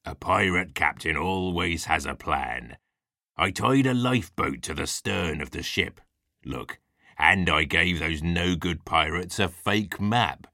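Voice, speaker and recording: A hearty pirate captain from a children's storybook, very low and forceful. He talks in a salty West Country pirate burr, theatrical and growly, and takes his time over the words. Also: expressive, unhurried, gravelly, dry recording, studio quality, full character voice. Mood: reassuring, sly. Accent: stage West Country pirate. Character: gruff hearty old-salt pirate captain, a scheming grown man. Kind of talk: acted children's storybook dialogue.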